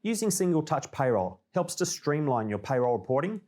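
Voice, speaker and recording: A man with an Australian accent presenting a scripted informational update in a clear, orderly, explanatory way. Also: fairly high pitch, very animated, normal speed, a little mellow. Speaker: presenter of a company newsreel.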